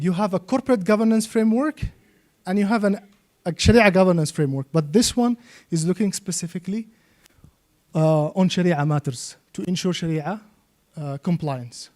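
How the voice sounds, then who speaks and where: A man speaks as a seminar trainer, in a fairly high, mellow voice. He explains step by step and keeps tagging his points with little checking questions. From Yemen. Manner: checks understanding with frequent "okay?" and "right?". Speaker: trainer and Islamic finance expert.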